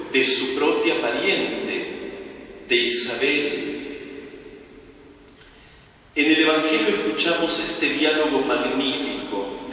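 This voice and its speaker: A man with an Argentine Spanish accent delivering a sermon as a preacher, in a deliberate, measured way with emphatic pauses. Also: on the high side, bright, forceful tone. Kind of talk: sermon, religious meditation. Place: large reverberant indoor space. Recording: echoey church-like hall, distant mic, dull, faint noise.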